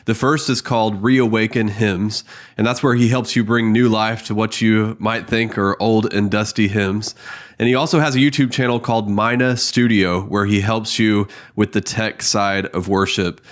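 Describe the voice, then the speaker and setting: A man's voice: fairly low pitch, fairly even intonation, moderate pace. A man hosting an interview about church sound with a friendly, scripted-sounding delivery. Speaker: host.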